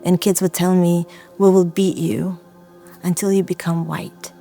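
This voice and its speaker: A woman from Azerbaijan and Russia telling her personal story in an earnest, reflective, deliberate way. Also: fairly low pitch, level tone, creaky, mellow, soft background music. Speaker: church member giving testimony.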